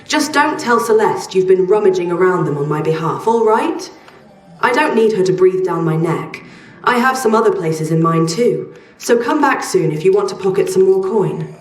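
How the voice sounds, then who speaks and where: An actress playing a guarded, curt quest-giver in a video game, speaking in a firm, dry, no-nonsense way. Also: female voice, mid-range voice, animated, normal speed, close to an everyday voice. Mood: wry, guarded. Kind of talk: video game character dialogue. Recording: roomy, distant, faint music behind.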